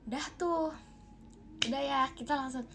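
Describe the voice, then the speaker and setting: High-voiced young woman telling a story. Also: moderate pace, creaky, a little hiss or hum. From Bogor, Indonesia. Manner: animated, rambling with many fillers and laughs. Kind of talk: casual storytime.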